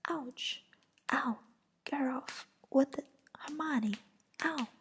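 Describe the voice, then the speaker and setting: Woman doing a young male wizard, defensive, whispered, slow, fairly high. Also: a little hiss or hum. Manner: whispered, pleading then shouting back.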